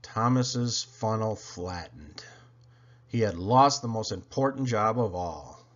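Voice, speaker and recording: A man narrates a children's picture book as a read-aloud. His delivery is expressive and animated, with pitch that moves widely from phrase to phrase. The recording sounds somewhat dull and lo-fi. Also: takes it slowly. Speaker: storybook reader.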